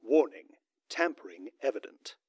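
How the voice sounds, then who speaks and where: A man voicing an impassive flight recorder computer in an audio drama, making formal, deliberate system announcements. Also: medium pitch, lively intonation, natural voice.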